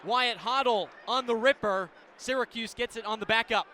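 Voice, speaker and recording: High-voiced American man calling live sports. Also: normal speed, pushed and bright, slight background noise. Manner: clipped, descriptive phrasing. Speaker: play-by-play radio commentator. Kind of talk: live lacrosse radio commentary.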